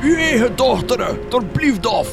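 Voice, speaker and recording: Man as very high-voiced Flemish villager. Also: big pitch swings, a little slow, creaky, heavily acted. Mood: exasperated. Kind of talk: gothic horror comedy radio drama. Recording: very loud music under the voice.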